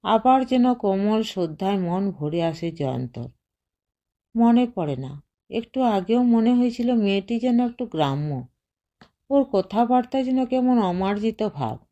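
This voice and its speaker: A woman narrating a short story as an audiobook, reading in a measured way and softly voicing the dialogue. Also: mid-range voice, animated, lo-fi sound.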